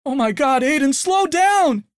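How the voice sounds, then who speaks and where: A man voicing a cautious teenage girl who pleads with her reckless boyfriend to slow down. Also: high-pitched, expressive, pitched above the performer's own voice. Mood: panicked. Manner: pleading, raised voice.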